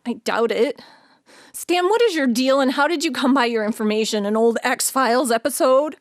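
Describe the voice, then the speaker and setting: Actress as high-voiced, blunt shaken woman. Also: normal speed, dry recording, studio quality, close to an everyday voice. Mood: wary, sardonic. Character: shaken, skeptical woman in cat costume, alien witness. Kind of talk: audio drama acting.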